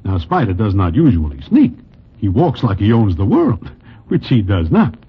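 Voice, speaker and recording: A man playing a wry, slangy New character who narrates gangster tales in a deadpan, wisecracking 1940s radio style. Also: fairly low pitch, normal speed, breathy edge, full character voice. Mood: wry storytelling. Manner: deadpan, wisecracking, oddly formal slang in present tense. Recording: muffled vintage 1940s radio recording.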